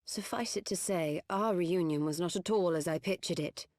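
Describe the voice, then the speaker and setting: An actress playing a poised scholarly woman in a fantasy game, with measured, archaic and gently reproving diction. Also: lowish voice, no echo, studio quality, close to an everyday voice. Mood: cool, reproving. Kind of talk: fantasy video game cutscene acting.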